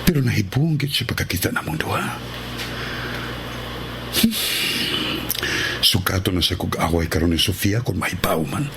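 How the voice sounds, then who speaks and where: Deep gravelly worried Visayan man. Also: big pitch swings, normal speed, pauses often, exaggerated voice. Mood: anxious, worried. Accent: Filipino, Visayan. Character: anxious man dreading a brewing scandal. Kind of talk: radio drama acting. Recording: noisy radio drama recording.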